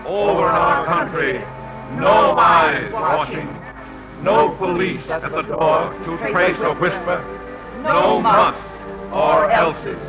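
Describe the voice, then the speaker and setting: A man's voice: on the high side, fairly even intonation, slow pace, pauses often. A man playing a fervent patriotic voice of the American people in a 1940s radio pageant, declaiming with ringing deliberation. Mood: defiant, proud. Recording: dull old radio broadcast, loud music behind.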